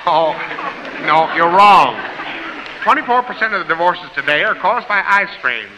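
A man's voice: sits high, expressive, normal speed, muffled recording. A man playing the lead in a 1930s radio comedy sketch, flustered and exasperated. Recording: noisy old radio broadcast.

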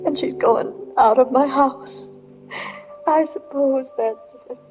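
A woman's voice: high voice, slow, muffled recording, noisy background. A woman playing a sentimental, weepy mother of the bride in a plain, wistful old-time radio drama monologue. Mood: wistful, tearful.

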